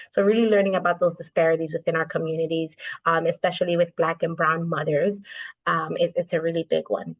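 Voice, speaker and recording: A woman's voice: fairly low pitch, poor audio. Woman, social work nonprofit leader, earnest and heartfelt, video call. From United States. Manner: earnest, speaks with conviction, frequent "right?". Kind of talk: video call panel discussion.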